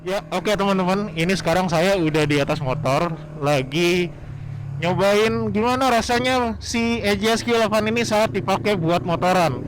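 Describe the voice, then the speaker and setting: A man's voice: high-pitched, moderate pace. A man reviewing gear while riding a motorbike, talking in a raised voice through his helmet. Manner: raised voice over riding noise. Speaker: product reviewer. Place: on a motorbike outdoors, wearing a helmet.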